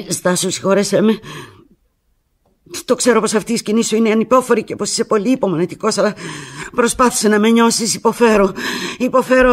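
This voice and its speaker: An actress playing a desperate, pleading abandoned woman in a radio drama phone monologue, with a low, husky voice and an intense, fragmented delivery. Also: lightly acted. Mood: anguished, pleading. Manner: intense, fragmented, swinging from hushed to anguished.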